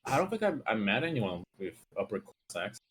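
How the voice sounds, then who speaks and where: A man's voice: fairly low pitch, sing-song, a little fast, a bit bright, a little hiss or hum. A man from Brazil whose first language is Portuguese, chatting casually on a stream. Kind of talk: casual chat on a livestream.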